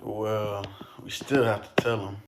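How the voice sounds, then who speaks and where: Man playing a slow, low old man. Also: level tone. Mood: gruff. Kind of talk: amateur puppet show acting.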